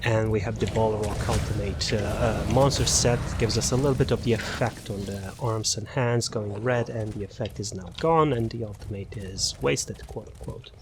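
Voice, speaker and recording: A man casually walking through a game character build, halting often with fillers and restarts. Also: fairly low pitch, steady tone, noisy background. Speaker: gaming channel host.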